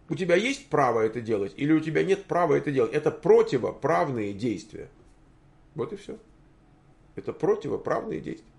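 A man in his sixties giving an informal, argumentative commentary, posing rhetorical questions and stammering through halting restarts. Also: medium pitch, darkish tone. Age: 60s. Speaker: streamer answering viewer comments.

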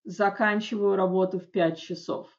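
A woman teaching an English grammar lesson, explaining rules in a chatty way with frequent checks and slow, careful example sentences. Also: medium pitch, fairly even intonation. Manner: reads English examples slowly and distinctly. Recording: dull lo-fi audio.

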